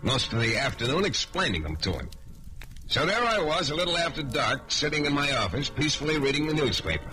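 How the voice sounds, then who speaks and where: A man's voice: a little high, very animated, a little fast, music in the background, poor audio. A man playing a reckless, sardonic private detective in 1940s radio drama style, on an old transcription recording. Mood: wry narration. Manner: sardonic, colloquial, hard-boiled. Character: wry, reckless hard-boiled male private detective.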